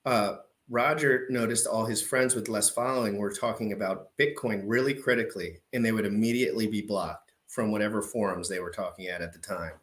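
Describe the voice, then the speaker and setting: A man hosting a livestream, pausing a clip to explain the background to his chat in a loose, off-the-cuff way. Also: on the low side, steady tone, a bit bright. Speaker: show host reacting to an old debate clip. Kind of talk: casual commentary to a live chat.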